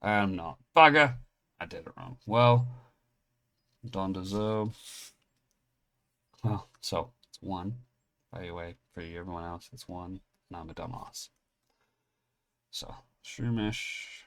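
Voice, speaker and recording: Low-voiced Englishman with a half-American lilt. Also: takes it slowly, long pauses, a bit bright. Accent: English, partly Americanized. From England. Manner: rambling, self-mocking, restarts often. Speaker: pack-opening channel host. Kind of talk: casual storytelling and card unboxing.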